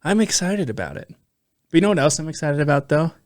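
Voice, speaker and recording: A man's voice: on the high side, animated, fairly quick, no room sound, clean studio sound. A man in his thirties co-hosting a podcast, laid-back and teasing, swearing casually. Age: 30s. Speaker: podcast co-host.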